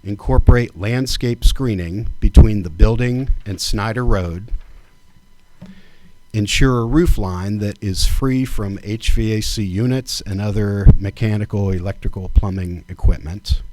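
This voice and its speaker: A man reading a formal zoning proposal at a township meeting, measured and deliberate, item by item. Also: on the low side, darkish tone. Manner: measured, deliberate, reads items one by one. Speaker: township trustee.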